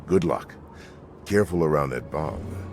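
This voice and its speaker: A man voicing a folksy, steady frontier town lawman in a video game, with a plain-spoken, cautioning, drawling manner. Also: very deep voice, unhurried, a little hiss or hum. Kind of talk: video game character dialogue. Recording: music behind the voice.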